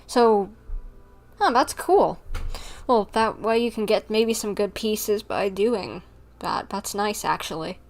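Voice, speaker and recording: A woman's voice: mid-range voice, slow. Woman, mid-range voice, casual livestream chatter, informal, rambling, easily sidetracked. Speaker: live streamer. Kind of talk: casual livestream chat with viewers.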